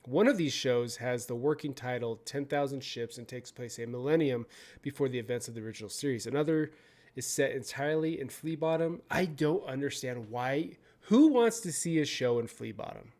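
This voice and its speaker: A young adult man hosting his own channel, reading news out loud in a casual way, often stumbling over words, swearing and joking. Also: mid-range voice, normal speed. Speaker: video host.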